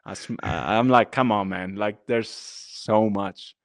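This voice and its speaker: A man with an Austrian-tinged accent from the Alps of northern Italy, chatting casually as a podcast guest, relaxed and halting with many fillers and restarts. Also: on the low side, gravelly, no echo, clean studio sound. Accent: Austrian-style German. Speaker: guest rapper and activist.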